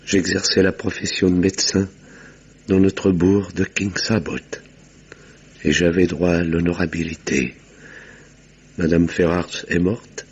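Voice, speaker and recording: An actor playing a guarded, weary village doctor with a bitter secret, speaking in a measured, formal way in a French radio drama with muffled sound. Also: male voice, sits low, husky, exaggerated voice.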